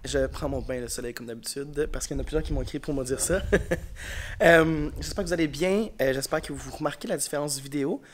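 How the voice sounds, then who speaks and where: Tired, congested Quebec teacher. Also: male voice, on the high side, a little fast, slight background noise. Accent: Quebec French. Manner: chatty, rambling, tired and frank. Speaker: elementary school teacher. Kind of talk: casual vlog talk to camera. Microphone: camera mic.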